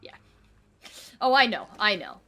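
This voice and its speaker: A woman in her twenties chats through a game stream in a casual, joking way. Her voice is bright and a little sharp, and her pitch swings widely as she reacts and answers her viewers. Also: big pitch swings, measured pace, creaky. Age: 20s. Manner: joking, chatty, answering chat. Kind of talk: casual live gameplay chat.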